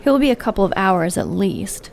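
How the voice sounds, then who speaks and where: An actress playing a demon's loyal female acolyte, gravelly and matter-of-fact. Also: mid-range voice, sing-song, normal speed, darkish tone, slight background noise.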